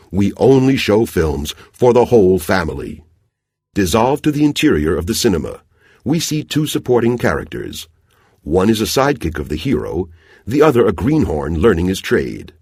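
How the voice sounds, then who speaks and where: A reflective projectionist narrates a radio drama. His voice is very deep, yet moves expressively up and down, with measured storytelling phrasing. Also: husky, dry recording, studio quality, full character voice.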